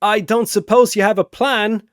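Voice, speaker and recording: A man's voice: high-pitched, steady tone, moderate pace, fairly bright tone, no room sound, studio quality, pitched above the performer's own voice. A man voicing a wry, startled adventuring archaeologist in an action story. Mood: urgent, wry.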